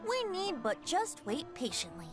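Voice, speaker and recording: High, lilting, carefree boyish bard. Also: female voice, huge pitch swings, unhurried, exaggerated voice. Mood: sly, breezy. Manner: flowery, lilting, theatrical. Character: carefree young male bard, theatrical, vain and playful. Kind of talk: anime game voice acting. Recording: music behind the dialogue.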